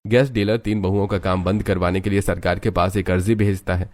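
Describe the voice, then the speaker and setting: Low-pitched male story narrator. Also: little pitch movement, brisk, darkish tone, dry recording.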